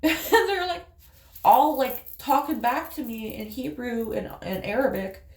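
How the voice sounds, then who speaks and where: A woman's voice: on the high side, measured pace, darkish tone, echoey room, low-quality recording. A woman with an Appalachian accent chatting casually as she does her makeup, rambling through a story with fillers and laughs. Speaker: vlogger. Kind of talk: casual chat while doing makeup.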